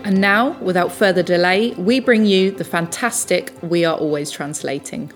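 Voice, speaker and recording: Lady co-host reading announcements, even and polished, faint music in the back. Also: fairly low pitch, moderate pace. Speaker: podcast co-host. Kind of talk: scripted announcements.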